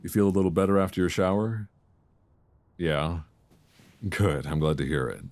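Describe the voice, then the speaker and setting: A man playing an affectionate, bookish boyfriend in an intimate ASMR roleplay, speaking softly, tenderly and soothingly close to a binaural microphone. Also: deep voice. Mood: affectionate, playful. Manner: soft, tender, unhurried, soothing.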